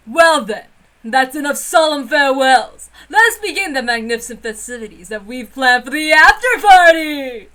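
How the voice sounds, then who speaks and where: A woman voicing a boisterous, gleeful witch hostess in a visual novel read-aloud, proclaiming loudly and theatrically. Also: high-pitched, expressive, moderate pace. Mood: grand, commanding.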